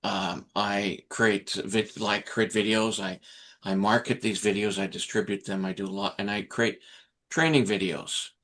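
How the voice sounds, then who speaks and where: A man's voice: lowish voice, flat delivery, unhurried, fairly bright tone. A man hosting a casual interview, talking with many fillers and restarts. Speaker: interviewer host. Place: Vancouver Island.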